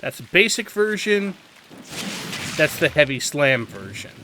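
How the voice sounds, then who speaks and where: A man hosts a video game guide in a bright, forceful voice. His pitch moves freely as he explains things with emphatic opinions and instruction. Faint game ambience of rain sits behind him. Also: very animated, a little slow, a little hiss or hum. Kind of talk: casual game tutorial commentary.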